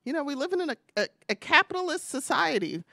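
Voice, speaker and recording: A woman's voice: high-pitched, big pitch swings, brisk, creaky, bright, forceful tone. A woman moderating a panel discussion, speaking conversationally in a candid, pointed way.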